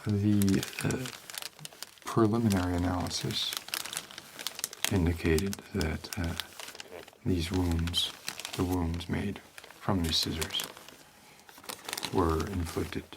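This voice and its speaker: Man acting a shady detective, low gravelly voice, deadpan ASMR roleplay, halting delivery, noisy recording. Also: heavily acted. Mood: deadpan, musing. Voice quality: low, creaky. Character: laid-back, shady male homicide detective, darkly deadpan.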